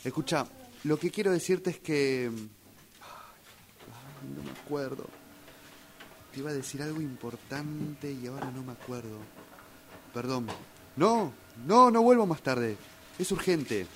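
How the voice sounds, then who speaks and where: Slow-paced Argentine man over clear noise. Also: medium pitch, lively intonation, a bit bright, low-quality recording. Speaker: radio drama workshop student. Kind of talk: acted dialogue exercise.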